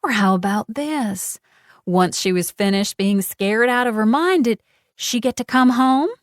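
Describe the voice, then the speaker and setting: A woman's voice: fairly high pitch, no echo, studio quality, pitched above the performer's own voice, close to an everyday voice. A woman voicing a sweetly vicious Southern woman with a honey-coated drawl, cooing and mocking. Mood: taunting. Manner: syrupy, taunting, drawn-out words.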